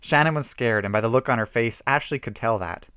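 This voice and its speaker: A man reads a horror story aloud in an amateur, theatrical way. His voice sits at a middle pitch, and the sound is dry and close, with almost no room on it. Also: a little fast, bright, forceful tone, smooth, no room sound. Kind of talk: amateur dramatic reading of a homemade horror story.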